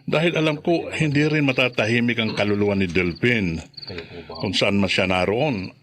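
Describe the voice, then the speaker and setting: Casting: actor playing grave elderly grandfather, deliberate, slightly low voice, grieving, radio horror drama. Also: crowd noise, natural voice. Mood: solemn, indignant. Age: older. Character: solemn, grieving elderly grandfather seeking justice.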